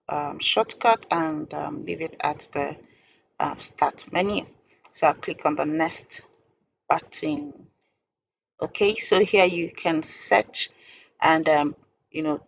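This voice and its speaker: A woman with a low, creaky voice walks through a software tutorial step by step. Her delivery is informal, halting and deliberate, over faint background noise.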